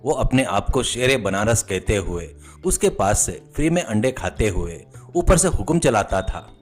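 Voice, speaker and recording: A man's voice: lowish voice, lively intonation, a little slow. A man narrating a scripted comic moral story as its storyteller. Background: music.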